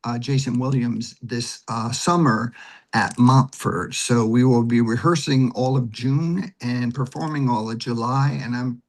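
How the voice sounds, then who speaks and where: A man with a husky voice giving conversational interview answers, explaining things step by step. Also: medium pitch, fairly even intonation, unhurried. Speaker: guest, theater director and producer.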